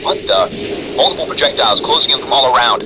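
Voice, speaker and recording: A man's voice: mid-range voice, lively intonation, fast, lightly acted. A man voicing a young male soldier who gives urgent sensor reports in a fan audio drama. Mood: alarmed. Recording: loud engine noise behind.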